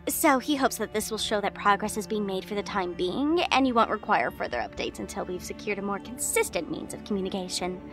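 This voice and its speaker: A woman voicing a young underworld goddess in an audio drama, chirpy or crisply formal. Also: medium pitch, animated, a little fast, few pauses, over music, natural voice. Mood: formal report. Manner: chirpy, switching to crisp formality when reporting. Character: bubbly young goddess, daughter figure of the underworld.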